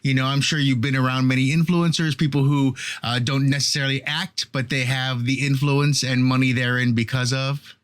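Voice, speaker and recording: A man's voice: medium pitch, little pitch movement, moderate pace, hardly any pauses, a bit bright, clear, resonant tone. A man hosting an interview, asking long, rambling questions full of restarts and fillers. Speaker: interviewer host.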